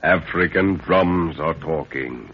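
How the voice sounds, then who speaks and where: A man's voice: a bass voice, slow pace, husky. A man narrating a 1930s radio serial as announcer, in a portentous, theatrical scripted style. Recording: muffled, noisy 1930s radio recording.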